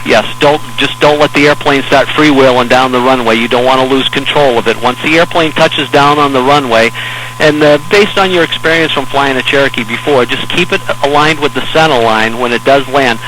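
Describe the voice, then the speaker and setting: An American man working as an air traffic controller, giving measured, hesitant, reassuring step-by-step instructions over aviation radio. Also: medium pitch, fairly bright tone, faint music behind, low-quality recording. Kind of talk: instructional radio talk to a pilot in an emergency.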